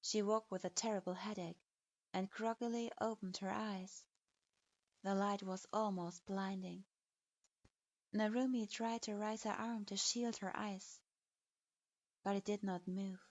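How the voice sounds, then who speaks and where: A woman reading a dark fanfiction story aloud as its narrator, in a steady, even, scripted manner. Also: mid-range voice, a little slow, pauses often, no echo. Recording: dull dry lo-fi recording.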